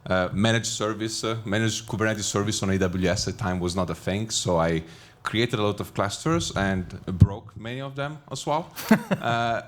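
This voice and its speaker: A man's voice: lowish voice, normal speed, hardly any pauses. A man answering panel questions on a conference stage, chatty and laughing often as he thinks aloud. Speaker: panel guest, Kubernetes trainer. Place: conference stage before an audience.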